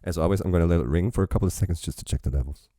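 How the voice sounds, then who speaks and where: A man's voice: low voice, very fast, dark tone, no echo. A man hosting a reaction channel, rambling casually with chatty asides while sipping a drink.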